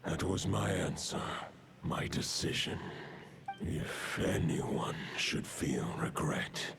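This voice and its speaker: Deep, gravelly ancient wolf spirit. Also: male voice, expressive, slight background noise, heavily acted. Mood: solemn, regretful. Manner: grave, archaic, deliberate speech. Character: ancient solemn male wolf spirit, proud and brooding. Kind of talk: fantasy video game voice acting. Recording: loud orchestral music behind.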